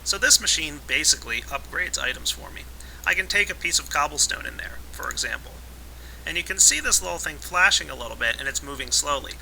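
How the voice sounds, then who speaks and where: A man with a raspy voice today, casually explaining a modded game as its let's play host, with relaxed fillers. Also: on the high side, a little fast, a little hiss or hum, rough recording. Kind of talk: casual gameplay tutorial narration.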